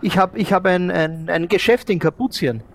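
A man improvising a polite, adventurous shopkeeper in an audio play, speaking haltingly and repeating his words. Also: sits high, vocal fry. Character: adventurous, polite male cork-shop owner.

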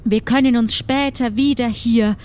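A woman playing a grave witch who protects ghosts, speaking in a measured, solemn way in an improvised audio adventure. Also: on the high side, steady tone, darkish tone, a little hiss or hum, natural voice. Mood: alarmed, urgent.

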